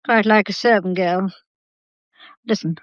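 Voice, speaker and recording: A woman's voice: medium pitch, fairly even intonation, no room sound, lightly acted. Imperious old English grandmother, played by an actress, muffled sound. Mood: imperious, probing. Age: older. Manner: brisk, imperious, probing. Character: sharp, unflappable old grandmother of a well-off household. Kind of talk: radio mystery drama acting. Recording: dull, muffled lo-fi audio.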